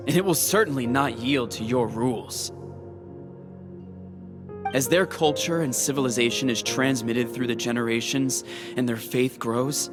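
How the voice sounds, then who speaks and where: An actor playing a proud, unyielding leader of a nation, speaking firmly and formally in a dramatic video game style. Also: male voice, medium pitch, natural voice. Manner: firm, formal, resolute oratory. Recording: music playing behind the voice.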